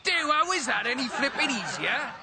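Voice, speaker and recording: An exasperated British music-hall comic in a cartoon game. His voice is pitched very high, and he bickers in indignant, theatrical slang. There is clear noise on the recording. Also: sing-song, normal speed, full character voice. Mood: grumbling. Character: excitable, exasperated male comedian, straight man of a double act.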